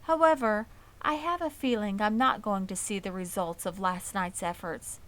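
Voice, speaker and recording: A woman voicing a wise, dignified, ageing female spider in a storybook, gentle, weary and composed, with slightly formal speech. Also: mid-range voice, moderate pace, lightly acted. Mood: sad, weary.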